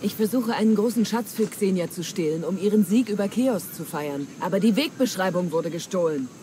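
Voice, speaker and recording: An actress playing a wily, flattering pirate treasure hunter in a dramatic German game dub, with theatrical, coaxing delivery. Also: female voice, mid-range voice, a little fast, close to an everyday voice. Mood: troubled. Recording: clear ambient noise.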